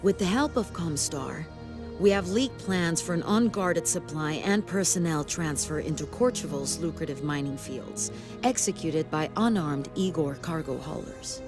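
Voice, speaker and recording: Stern, low-voiced female military commander. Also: expressive, normal speed, music in the background. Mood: grave, resolute. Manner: formal, clipped military briefing delivery. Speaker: military commander giving a briefing. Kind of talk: video game cutscene acting.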